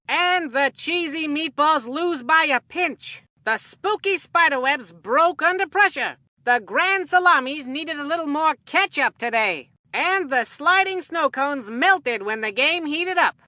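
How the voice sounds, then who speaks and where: Corny, food-mad kid sports commentator for a video game; unhurried, hammy announcer delivery, very dry sound. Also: high-pitched, bright, forceful tone, dry recording, heavily acted.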